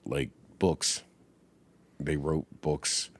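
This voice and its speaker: A man co-hosting a casual film podcast, animated and profane, laughing often. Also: extremely low pitch, extremely slow, breathy edge, dry recording. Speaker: podcast co-host.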